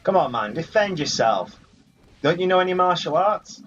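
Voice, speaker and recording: A man's voice: high-pitched, expressive, normal speed, a bit bright. A man giving casual let's play commentary, bantering jokily and swearing mildly at the game's characters. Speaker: gaming video host. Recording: muffled lo-fi audio over game music. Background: video game music.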